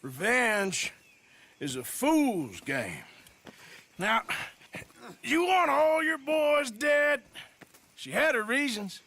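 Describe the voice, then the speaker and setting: A man's voice: very high voice, sing-song, extremely slow, pauses often, pushed and bright, exaggerated voice. A man playing a weary, plain-spoken American outlaw gunslinger in a video game drama. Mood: stern, menacing. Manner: plain-spoken, terse, rough grammar.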